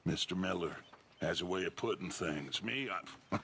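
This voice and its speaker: A man's voice: low voice, moderate pace, gravelly, a bit bright, a little hiss or hum. A middle-aged man playing a proud, philosophical American outlaw leader in a video game, speaking with grand emphasis. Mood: earnest, lofty.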